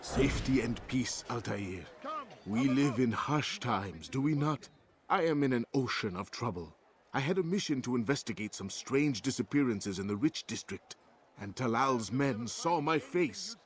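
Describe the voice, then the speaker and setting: An actor playing an anxious informant in a video game, speaking in a formal, measured way. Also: male voice, medium pitch, expressive, crowd noise, close to an everyday voice. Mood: grave, pleading. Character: anxious male informant seeking help, formal and grateful.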